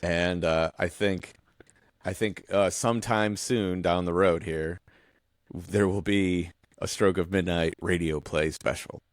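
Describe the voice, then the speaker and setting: An American man with a low voice hosts a casual podcast chat. He explains things informally, and the sound is dry, with very little room in it. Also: fairly even intonation, a little slow, dry recording. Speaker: podcast host.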